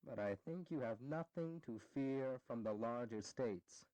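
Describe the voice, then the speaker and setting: Man acting measured, slow-spoken statesman. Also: medium pitch, dark tone, no room sound, natural voice, poor audio. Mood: reassuring. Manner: deliberate, courteous, rhetorical. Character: measured, conciliatory Virginian statesman, male. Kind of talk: historical audio drama acting.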